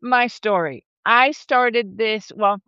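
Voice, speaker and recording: Woman, 40s, from Argentina and Brazil, chatty storyteller, bright clear voice, dry slightly dull call audio. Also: fairly high pitch, slow pace, dry recording. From born in Argentina, raised in Brazil. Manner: warm, chatty, laughs often, frequent restarts. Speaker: regenerative rancher and holistic management educator. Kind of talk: casual conversational storytelling. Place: home, live video call. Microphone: video call microphone.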